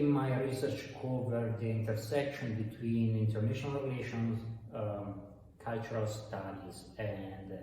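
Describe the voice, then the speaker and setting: Man, Italian accent, halting delivery, roomy far-off recording, faint background hum. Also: lowish voice, dark tone. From Italy. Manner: hesitant, many fillers and restarts. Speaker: university dean. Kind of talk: interview answers. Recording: echoey hall, voice from far off.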